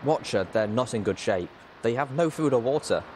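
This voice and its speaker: A man's voice: mid-range voice, lively intonation, normal speed, a little mellow, a little hiss or hum, pitched above the performer's own voice, close to an everyday voice, rough recording. A man voicing a plucky young mare pony, urgent and bossy, in a storybook reading. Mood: urgent, cross.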